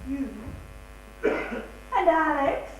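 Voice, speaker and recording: A woman's voice: high-pitched, very slow. A woman on stage in an amateur comedy, playing a clingy, insecure, melodramatic lover with a tearful, wailing delivery, in an echoey theatre. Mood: indignant. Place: theatre stage, recorded live. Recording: echoey hall recording with faint hum.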